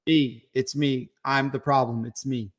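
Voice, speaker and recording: Laughing mid-voiced podcast co-host. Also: male voice, mid-range voice, steady tone, measured pace. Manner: laughing, self-deprecating, joking. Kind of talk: crude comedic banter.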